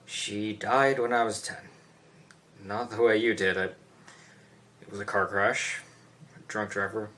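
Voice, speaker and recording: Man as subdued grieving teen boy, stage play; low, very slow and halting, faint noise. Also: fairly even intonation, sharp tone. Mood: grieving, awkward. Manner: halting, repeats himself. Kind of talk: one-act play letter monologue.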